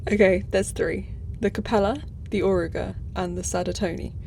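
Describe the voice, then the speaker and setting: An actress playing a thoughtful, earnest starship crew member in a sci-fi audio drama, speaking in a tentative, explanatory way. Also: female voice, mid-range voice, fairly even intonation, normal speed, creaky, warm tone, slight background noise, natural voice, rough recording.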